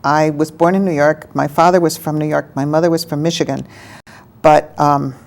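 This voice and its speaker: Low-voiced thoughtful woman in her sixties. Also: steady tone, creaky, darkish tone. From Alabama, American South. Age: 60s. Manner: thoughtful, measured, pauses with fillers. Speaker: interviewee, lawyer. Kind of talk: oral history interview.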